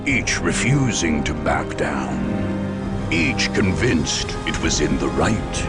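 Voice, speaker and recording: A man's voice: deep voice, full character voice. A man performing as a solemn, grave chronicler of war, narrating a game cutscene in a portentous, dramatic manner. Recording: loud music behind the narration.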